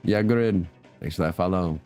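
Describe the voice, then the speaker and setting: A man with a deep voice hosts a game stream in a casual, laid-back way. He talks in slang and swears freely. Faint music plays behind him. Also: normal speed, warm tone, clear, resonant tone. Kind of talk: casual live game commentary.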